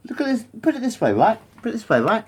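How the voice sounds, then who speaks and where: A man's voice: sits high, wildly swooping pitch, fast talker, slightly rough. A man in his late forties rambling to camera, repeating himself, swearing and wandering off topic. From England. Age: 40s. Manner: repetitive, swears often, digresses. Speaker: vlogger.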